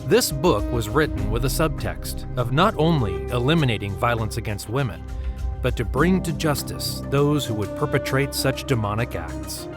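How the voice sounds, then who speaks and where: A man narrating an audiobook from a script in a measured, formal way. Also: mid-range voice, lively intonation, music in the background. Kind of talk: scripted first-person narration.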